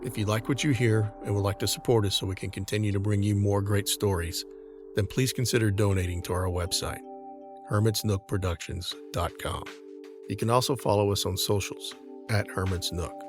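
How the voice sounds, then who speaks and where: A man with a fairly low voice works as the narrator of an audio drama. He reads the script deliberately in clear-cut phrases, with music clearly playing behind him. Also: fairly even intonation.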